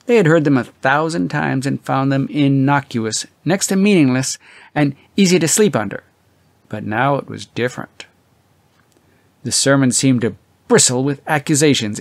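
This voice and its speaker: A man reading literary fiction as an audiobook narrator, in a measured, dramatic storytelling manner with drawn-out emphasis. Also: medium pitch, no room sound.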